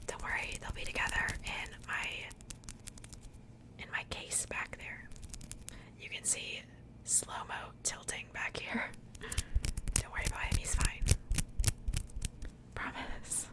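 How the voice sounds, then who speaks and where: A woman speaking in a breathy, unvoiced whisper, chatting softly and informally in the style of an ASMR unboxing. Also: slow, long pauses, low-quality recording. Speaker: ASMR video creator.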